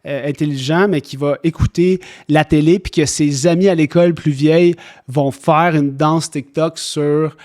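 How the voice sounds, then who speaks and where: A man's voice: on the high side, fairly even intonation, normal speed, a little mellow. A man with a Québécois accent, chatting casually as the guest on a podcast. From Quebec, Canada. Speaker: podcast guest, clinic founder. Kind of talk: casual chat.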